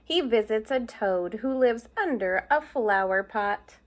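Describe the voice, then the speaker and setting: Woman teacher, storybook read-aloud for kids, slightly high voice, chatty asides. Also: expressive, a little slow. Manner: explains pictures with asides to young listeners.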